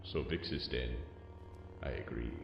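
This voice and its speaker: A man playing a wary Jedi warrior with a gravelly voice and a dry, sarcastic manner in an audio comic. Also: very deep voice, measured pace, over music, heavily acted. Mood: wary, sardonic. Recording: muffled, reverberant cavern-like sound.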